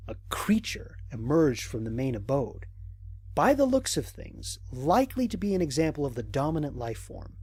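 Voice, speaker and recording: Man acting as space explorer, audio drama mission log, cautious, reflective, measured, slightly husky, dry recording. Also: mid-range voice, a little mellow, dry recording. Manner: measured, reflective, formal diction with deliberate pauses. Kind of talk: scripted audio drama monologue.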